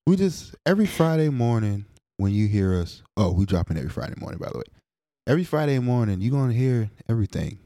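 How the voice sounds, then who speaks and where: A man's voice: medium pitch, very animated, fast talker, dark tone, no echo, clean studio sound. A man in his late twenties, co-hosting a podcast in a laid-back, slangy, teasing way. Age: 20s. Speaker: podcast co-host. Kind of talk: casual chat.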